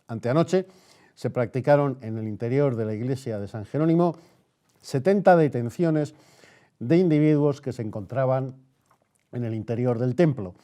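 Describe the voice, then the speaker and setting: Mellow male radio presenter. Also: mid-range voice. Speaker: radio host. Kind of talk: radio news bulletin and interview presenting.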